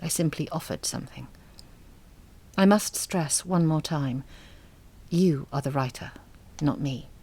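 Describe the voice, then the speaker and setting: Low, understated, reserved woman. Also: dark tone, husky, exaggerated voice. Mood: firm, polite. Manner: measured, understated, articulate. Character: reserved, selfless single mother, gently firm and sad. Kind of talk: audio drama acting.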